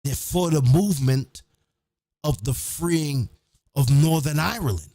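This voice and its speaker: A man's voice: a little high, a little slow, warm tone, dry recording. A man hosting his own show, giving a blunt, profane, emphatic opinionated monologue in a casual ranting style.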